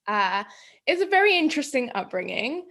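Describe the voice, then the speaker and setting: Woman, 30s, podcast guest: a bit high, gravelly, rambling and chatty. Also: sing-song, normal speed, fairly bright tone. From Greece. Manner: chatty, laughs often, trails off into fillers. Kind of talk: casual interview chat.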